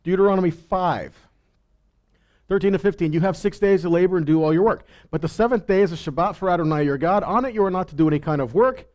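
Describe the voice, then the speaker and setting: A man's voice: high voice, fast, a little mellow. A man giving an informal sermon as a Bible teacher, reading verses and commenting conversationally with rhetorical questions and imagined replies.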